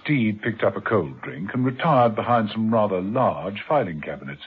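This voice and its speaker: A man narrating a radio drama in a measured, vivid storytelling manner. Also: fairly low pitch, animated. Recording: tinny old radio broadcast.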